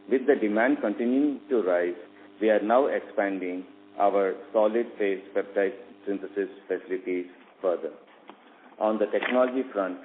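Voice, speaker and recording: A man with an Indian accent reads a formal, scripted business presentation in a measured way, as an executive on a company call. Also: lowish voice. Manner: measured, reading from prepared remarks. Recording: tinny phone line with hum and noise.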